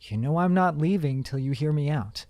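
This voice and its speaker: Man acting a determined mage, lively and higher-pitched. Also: normal speed, a little hiss or hum, natural voice. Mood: coolly threatening. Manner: polite, theatrical, coolly insistent. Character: determined male mage hunting a wanted man. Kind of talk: dramatic audio fiction acting.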